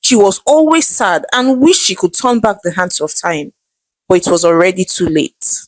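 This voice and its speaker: A woman's voice: fairly low pitch, normal speed, dry recording. A woman with a Nigerian accent telling a story in a dramatic oral style as host.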